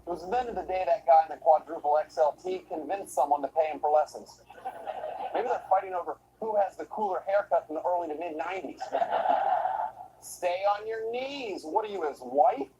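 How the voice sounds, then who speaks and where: A man hosting a comedy show, narrating clips and interviewing a guest with deadpan, mocking sarcasm. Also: a little high, brisk, a little hiss or hum, rough recording.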